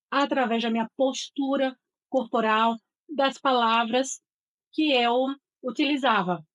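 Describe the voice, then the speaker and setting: A woman's voice: fairly high pitch, rough recording. A middle-aged woman with a Northeastern Brazilian accent from Sergipe, a voice and speech therapist telling her career story in an interview with an assured, articulate manner. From Aracaju, Sergipe, Brazil.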